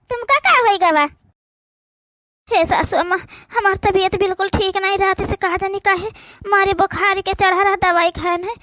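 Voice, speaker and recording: A woman's voice: very high-pitched, a bit bright, no room sound. A woman with an Awadhi accent acting out a rural family drama for a cartoon in colloquial, complaining village speech. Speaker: cartoon voice performer.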